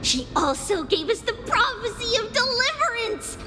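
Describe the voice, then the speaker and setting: Very high-voiced sweet little girl. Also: sing-song. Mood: wistful. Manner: singsong, childish, slow. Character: little girl, childlike, earnest, sweet, speaks in third person. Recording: game music behind.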